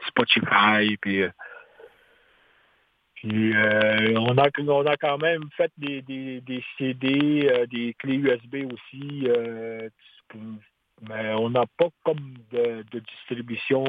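A man, a singer-songwriter guest on a radio interview, talking haltingly with many restarts and fillers. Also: mid-range voice. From Quebec. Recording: tinny phone line with faint hiss.

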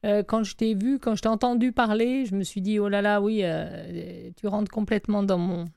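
A woman's voice: mid-range voice, steady tone, moderate pace, creaky, warm tone, clean tone, no room sound, clean studio sound. A woman co-hosting an interview, enthusiastic and hesitant, with many fillers and restarts. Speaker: interviewer, co-host. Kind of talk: casual interview conversation.

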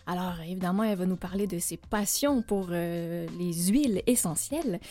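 A woman hosting a radio interview show, speaking gently with many hesitations. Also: lowish voice, moderate pace.

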